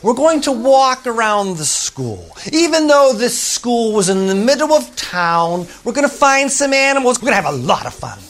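A storyteller voicing a cheerful woman teacher who hushes and gently scolds her class. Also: mid-range voice, sing-song, takes it slowly, few pauses, pitched above the performer's own voice. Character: Miss Giles, cheerful adult woman teacher.

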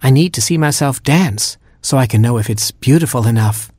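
A man's voice: mid-range voice, normal speed, darkish tone, husky, no room sound, studio quality, natural voice. A man voicing a young female penguin who is vain and theatrical about her dancing. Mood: dramatic. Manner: theatrical, self-absorbed.

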